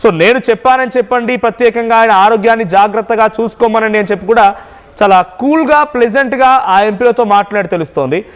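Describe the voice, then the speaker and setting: Male news anchor, high-pitched voice, live discussion delivery, reverberant recording. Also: fast. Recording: reverberant hall-like sound.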